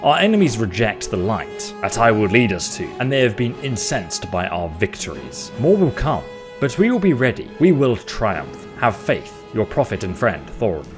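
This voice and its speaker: A man gives casual commentary on a game he is playing. His voice sits on the low side and his delivery is playfully theatrical. Game music is clearly heard behind him. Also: sing-song, normal speed. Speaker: gaming video host. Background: game soundtrack.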